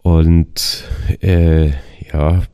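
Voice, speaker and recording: A man's voice: sits low, flat delivery, very slow, dark tone, clear, resonant tone, no echo, clean studio sound. A young man recounting his bicycle trip in a casual, rambling way, with many fillers and restarts while he gets over the flu. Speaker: travel vlogger on a bicycle tour. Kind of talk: casual spoken travel diary.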